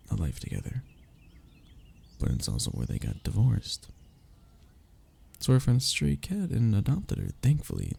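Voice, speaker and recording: Male actor as wistful young best friend in love, deep gravelly voice, very expressive pitch, halting confessional delivery, intimate audio roleplay. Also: exaggerated voice. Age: young adult.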